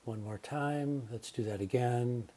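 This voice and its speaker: A man guiding a relaxation meditation as a yoga nidra teacher, speaking calmly and gently with unhurried pauses. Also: medium pitch.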